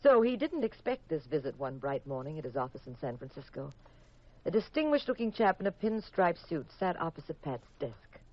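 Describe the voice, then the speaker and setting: A woman's voice: deep voice, big pitch swings, a little fast. An actress with an American accent playing a poised detective's wife, wryly narrating her mystery in 1950s radio drama style. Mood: wry storytelling. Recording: dull old radio recording with music.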